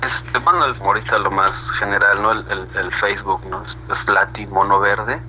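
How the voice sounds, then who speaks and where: A low-pitched Mexican man chats as an interview guest. He rambles casually in slang, often ending on a tag question. The internet call sounds dull and slightly noisy. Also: steady tone, normal speed, bright, forceful tone. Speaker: reggae band member being interviewed.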